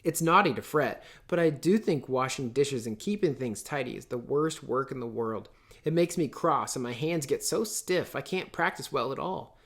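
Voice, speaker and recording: A man voicing a gentle, shy teenage sister in a storybook, the earnest peacemaker of the family. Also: mid-range voice, normal speed, close to an everyday voice. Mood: fretful.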